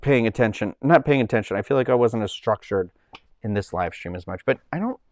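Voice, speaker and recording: A man's voice: fairly low pitch, lively intonation, a little fast, no echo, clean studio sound. A man with a Boston accent, hosting a casual livestream from home and chatting in a rambling way while he reads the chat. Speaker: livestream host.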